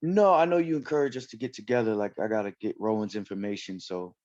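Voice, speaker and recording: Male cast member, slightly deep, dry lo-fi call audio, casual and courteous. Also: fast, a little mellow, no room sound. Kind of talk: casual questioning. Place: video call. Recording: dull lo-fi video call audio.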